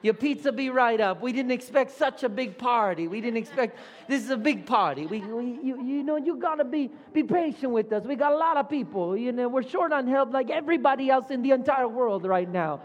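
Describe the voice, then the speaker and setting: Comic skit role: man playing a harried Bronx pizzeria owner, high-pitched, rambling, heavy New York accent. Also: animated, a little fast, few pauses. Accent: put-on New York Bronx. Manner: harried, rambling, pushy with the crowd. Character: frantic male Italian-born Bronx pizzeria owner. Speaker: skit performer. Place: church sanctuary. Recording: long church reverb.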